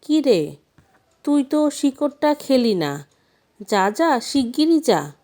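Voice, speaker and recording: A kindly but scolding storybook queen, acted by a woman narrator, protective and motherly. She exclaims in a high, lively voice with a soft edge. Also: slow pace, full character voice. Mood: urging.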